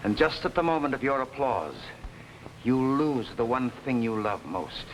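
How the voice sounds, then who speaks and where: Grave, tormented man with lively pitch an actor's role. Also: moderate pace, close to an everyday voice. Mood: appalled, bitter. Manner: grave, dramatic. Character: grave, tormented orchestra conductor, a widowed husband. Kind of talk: 1950s television melodrama acting. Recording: noisy old 1950s TV soundtrack.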